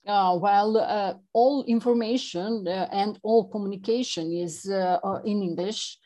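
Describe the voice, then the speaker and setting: A woman from Serbia with a Serbian accent, speaking English as a second language as an interview guest, halting with many fillers and restarts. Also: mid-range voice, warm tone. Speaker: interview guest, chapter representative. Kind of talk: non-native conversational English interview.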